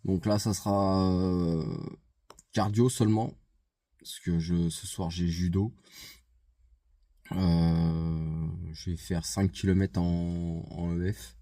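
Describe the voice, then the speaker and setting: Low, slow-talking man with a head cold. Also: steady tone, mellow, dry recording, clean studio sound. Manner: hesitant, many fillers and restarts. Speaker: vlogger. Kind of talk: casual vlog chat. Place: outside a gym.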